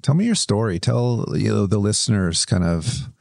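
Gravelly low-voiced male podcast host. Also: sing-song, normal speed, darkish tone, dry recording, clean studio sound. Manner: loose, chatty, casually profane. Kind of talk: casual chat.

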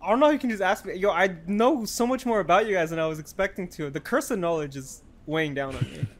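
Relaxed twenties guy on a podcast, bit high voice, joking around. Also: quick pace, over a noisy crowd. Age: 20s. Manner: laid-back, joking. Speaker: podcast guest. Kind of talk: casual chat.

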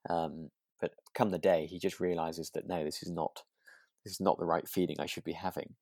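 A man's voice: deep voice, flat delivery, brisk, gravelly, darkish tone. A man co-hosting a podcast, chatting informally and thinking aloud in a tentative way. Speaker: podcast co-host. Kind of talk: relaxed, informal discussion.

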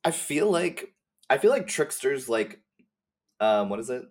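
A man's voice: medium pitch, sing-song, unhurried. A man talking casually as a podcast guest, in an effusive, slangy way. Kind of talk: casual chat.

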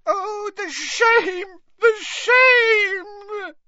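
A woman voicing a distraught, indignant female house elf in a storybook, with a shrill squeak, a wailing delivery and broken servile grammar. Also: animated, a little slow, bright, forceful tone, muffled recording, full character voice. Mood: wailing, grieving.

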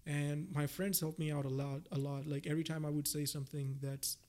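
A man's voice: fairly high pitch, steady tone, a little fast, darkish tone. A young man from India, a native Gujarati speaker, chatting casually as an interview guest, talkative and often repeating and rephrasing his phrases. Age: young adult. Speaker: podcast guest, PhD researcher.

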